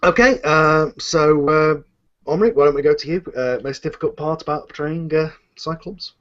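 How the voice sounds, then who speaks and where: A man hosting a casual cast Q&A as the series writer, talking in a rambling, halting way and often interrupting himself. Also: a little high, fairly bright tone, dull audio. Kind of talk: casual online cast Q&A chat.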